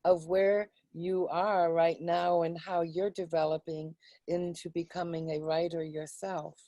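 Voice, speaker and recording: A woman's voice: sits low, mellow, no room sound. A woman chatting casually in an online group meeting, speaking in an encouraging and personal way. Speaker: discussion participant.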